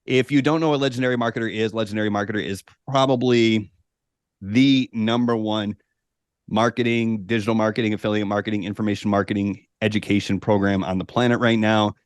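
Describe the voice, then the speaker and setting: A man's voice: lowish voice, fairly even intonation, fairly quick, smooth, no echo, clean studio sound. A man hosting a podcast, introducing a guest in an upbeat, promotional way. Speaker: podcast host. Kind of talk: enthusiastic show introduction.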